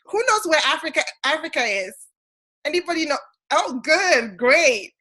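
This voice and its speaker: Woman, high-pitched and energetic, encouraging project manager on a video call. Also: normal speed. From Ghana. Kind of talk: enthusiastic welcome speech on a video call.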